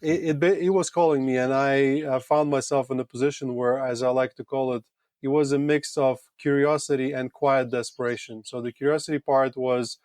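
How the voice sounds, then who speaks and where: A man from Latvia with a Latvian accent speaks as a podcast guest. His mid-pitched voice moves in halting, thoughtful phrases as he tells a personal story casually. The recording is dry and close. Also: fairly even intonation, darkish tone, dry recording. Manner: halting, thoughtful, hesitant phrasing with repeated words. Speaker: podcast guest, retreat owner.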